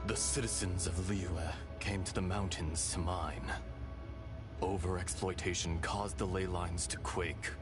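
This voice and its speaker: An ancient, kindly dragon spirit for a video game. The voice sits very low and moves slowly, with solemn, sorrowful weight on each measured phrase. Music plays prominently behind it. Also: male voice. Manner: slow, grave, deliberate, measured delivery. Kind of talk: dramatic game character voice acting.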